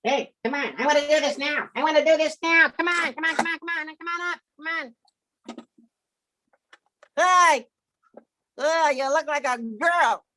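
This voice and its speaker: A man's voice: extremely high pitch, normal speed, long pauses, sharp tone, pitched above the performer's own voice. A man voicing a pushy, cheeky puppet pal who pesters and bluntly teases in a comedy act. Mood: pushy, teasing.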